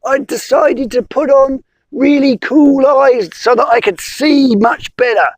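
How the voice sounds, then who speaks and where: A man voicing a whiny frog with a bad headache, complaining loudly in a storybook tale. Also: extremely high pitch, a little slow, no room sound, voice pushed up. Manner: whining, shouting.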